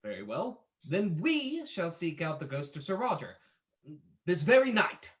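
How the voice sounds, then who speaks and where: A performer playing a calm, suave male detective, formal and probing, in a radio drama reading. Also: mid-range voice. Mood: suave, teasing. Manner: formal, measured questioning. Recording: dull lo-fi sound.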